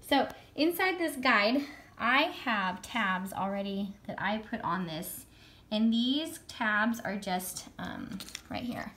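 A woman in her thirties with a slightly hoarse voice from a cold, chatting enthusiastically at home while showing homeschool books to a camera. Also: mid-range voice, animated, takes it slowly, pushed and bright. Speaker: homeschool curriculum reviewer. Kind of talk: casual show-and-tell review. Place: home room with a world map.

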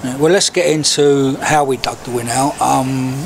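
A man's voice: medium pitch, steady tone, moderate pace, gravelly, slight background noise. An older man hosting a club TV post-match chat outdoors, asking leading questions. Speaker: club TV interviewer. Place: outdoors by a football ground wall.

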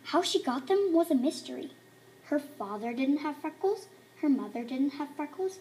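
A woman reading a children's storybook aloud in an expressive way, acting out the emphatic words. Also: high-pitched, moderate pace, a little hiss or hum, poor audio. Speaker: storybook reader.

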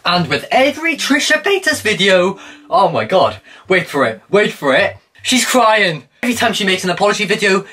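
A man's voice: high-pitched, a bit bright, breathy edge. A young man doing sarcastic reaction commentary in a small room, filmed by a camera set back from him. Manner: mocking, sarcastic. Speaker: commentary youtuber. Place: small room by a blue wall.

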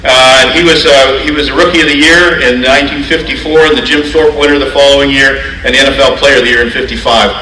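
Middle-aged man, university president at a podium, halting delivery, bright tone; echoey auditorium, slightly distant mic. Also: mid-range voice, fairly even intonation. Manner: halting, many "uh" pauses, reciting dates. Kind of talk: awards ceremony speech. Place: stage of a large auditorium. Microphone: handheld microphone at a podium. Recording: echoey hall, heard from afar.

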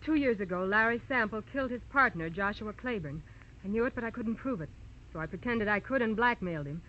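A woman's voice: mid-range voice, expressive, a little fast, fairly bright tone, slight background noise, lightly acted. Lady acting scheming blackmailer dame, urgent dramatic voice, muffled old radio drama. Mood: pleading. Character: defiant, scheming young female blackmailer, pleading when cornered. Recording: dull old radio recording.

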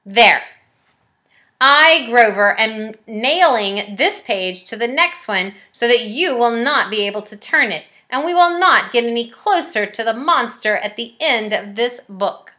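A woman's voice: fairly high pitch, lively intonation, measured pace, sharp tone. A woman voicing a timid, lovable furry male storybook monster in a pleading, melodramatic manner. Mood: determined. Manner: pleading, melodramatic, exaggerated emphasis.

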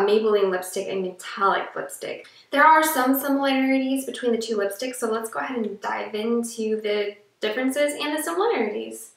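Young woman, froggy voice, chatty beauty vlogger, higher pitch, roomy sound. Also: a bit bright. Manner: chatty, rambling, fillers and restarts. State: froggy voice from allergies. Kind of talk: casual product review to camera. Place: small room indoors. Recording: echoey room, a little far from the mic.

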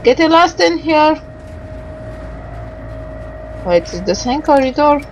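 A woman doing let's play commentary, reading game dialogue aloud haltingly and adding muttered asides. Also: sits high, very animated, a little mellow, muffled recording, a little hiss or hum.

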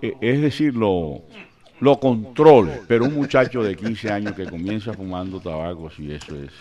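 A man's voice: on the low side, moderate pace, a crowd in the background. An older man, chatting and joking as a radio host in a studio, speaking into a studio mic. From Colombia. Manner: animated, joking, rambling. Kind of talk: casual radio chat, storytelling. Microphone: studio mic with headphones.

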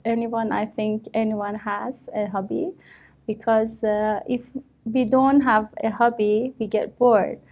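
Slow-spoken Iranian woman, hesitant. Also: medium pitch, darkish tone. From Iran. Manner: hesitant, many fillers and restarts. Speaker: test candidate. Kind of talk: mock speaking exam answers. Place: small office with a desk.

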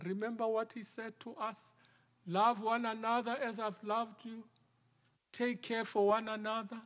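A middle-aged male minister preaching a sermon from the pulpit, in a measured style that sometimes drops to a near whisper for emphasis. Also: high voice, a bit bright. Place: large wooden church sanctuary, at the pulpit. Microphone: pulpit microphone. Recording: long church reverb.

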